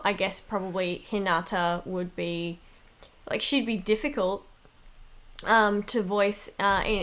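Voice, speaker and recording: A woman's voice: medium pitch, fairly bright tone. A woman speaking casually as an interviewed voice actor, in a giggly, informal, rambling way.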